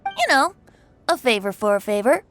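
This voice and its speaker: High-voiced, carefree boyish bard. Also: female voice, very animated, moderate pace, fairly bright tone. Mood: mock-woeful, teasing. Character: playful, carefree, boyish young male bard, slyly teasing. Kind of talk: anime game voice acting. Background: background music.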